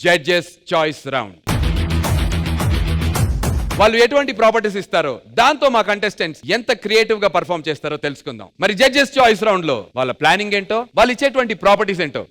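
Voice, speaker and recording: Fast, high-pitched male TV show host. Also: big pitch swings. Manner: exuberant, banters with guests. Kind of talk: energetic stage presenting of a TV dance show. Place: studio stage. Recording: loud music behind the voice.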